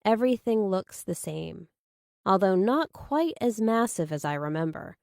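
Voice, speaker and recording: A woman's voice: medium pitch, expressive, unhurried, creaky, darkish tone, no room sound, clean studio sound. A woman reading a scripted first-person thriller audiobook as its narrator.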